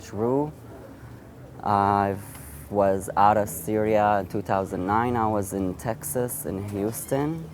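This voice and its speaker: A man in his thirties with a fairly low voice tells his own story in an interview. His is Assyrian, and he speaks haltingly, restarting and repeating words as he goes. A faint hiss of background noise sits under his voice. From Iraq. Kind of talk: personal storytelling.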